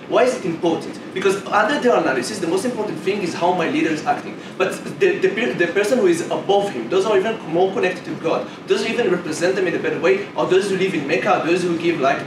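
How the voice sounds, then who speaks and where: Halting young Israeli man debating, far off. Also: fairly high pitch. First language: Hebrew. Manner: argumentative, halting with many restarts. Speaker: competitive debater. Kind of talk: debate speech. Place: debate room. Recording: roomy echo, far from mic, noisy.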